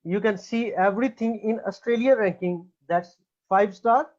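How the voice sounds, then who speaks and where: A man's voice: high voice, moderate pace. A man with a Pakistani accent, a native Urdu speaker, hosting an informal promotional talk for students in an effusive, repetitive sales manner. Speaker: education consultancy host. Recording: slightly dull, lo-fi sound.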